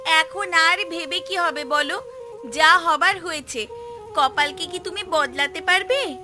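Lady doing a naggy but caring village wife in a cartoon, really high voice, music behind. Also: takes it slowly. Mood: concerned, soothing. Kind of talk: Bengali village cartoon dialogue.

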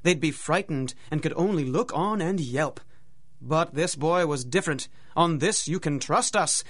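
A man's voice: fairly high pitch, unhurried, fairly bright tone. A man narrating a radio drama in a theatrical, dramatic storytelling manner.

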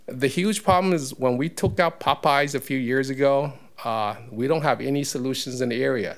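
A man giving a public comment at a council meeting podium, explaining in a conversational way with many fillers. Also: medium pitch. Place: council chamber. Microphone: podium mic.

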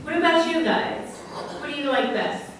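Young woman reading a kids story at church, slow and gentle, bit high voice, faint room hum. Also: expressive. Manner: slow, gentle, asks the children questions. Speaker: children's story leader at church. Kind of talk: storytelling to children with questions. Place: church sanctuary, at the front. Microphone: far room camera. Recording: very echoey church from far off.

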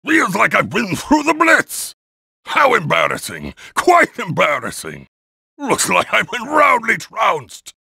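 A man playing a pompous, old-fashioned British gentleman sports car in a video game, with a plummy, theatrical, exclamatory delivery and a raspy voice. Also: sits high, huge pitch swings, slow pace, no echo, full character voice. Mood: dismayed. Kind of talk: animated video game character barks.

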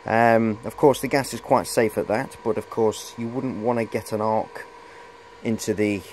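Low-voiced man, rambling over background noise. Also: fairly even intonation, normal speed, darkish tone. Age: middle-aged. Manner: rambling, hesitant, repeats "of course". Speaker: hobbyist presenter. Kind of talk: casual show-and-tell vlog. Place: cluttered indoor workshop.

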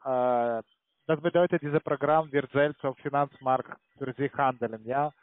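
A man with a foreign accent speaking non-native German as a call centre salesman, pitching haltingly and tagging sentences with "ja". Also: fairly even intonation, dry recording. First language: not German. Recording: tinny narrow phone line.

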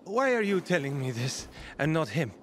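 Emotional son, swooping voice. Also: male voice, a little high, big pitch swings, moderate pace, a little hiss or hum. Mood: grieving. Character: emotional young man, grieving son, bitter, proud.

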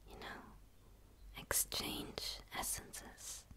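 A young woman playing a gentle, curious alien in a whispered ASMR roleplay, speaking in a soothing, hushed way with pauses, close to the microphone. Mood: hopeful, friendly. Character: gentle, curious dark female forest alien.

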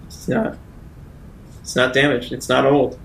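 Mid-pitched man improvising as a player. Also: measured pace, creaky, fairly bright tone. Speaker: tabletop game player. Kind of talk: improvised in-character roleplay.

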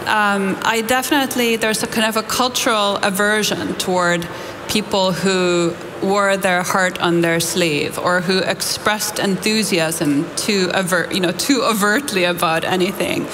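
A woman's voice: mid-range voice, fairly even intonation, measured pace. A woman in her forties from Finland, moderating an onstage conference interview with articulate, reflective questions. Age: 40s. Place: large conference hall stage. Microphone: headset mic. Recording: reverberant hall with background noise.

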